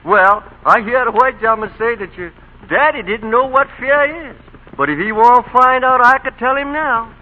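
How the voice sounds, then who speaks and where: An actor playing an anxious, loyal, deferential Southern servant with a Southern accent in a vintage radio drama. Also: male voice, extremely high pitch, moderate pace. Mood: nervous, pleading. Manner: deferential, pleading, excitable. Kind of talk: 1930s-40s radio drama acting. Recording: muffled old radio broadcast.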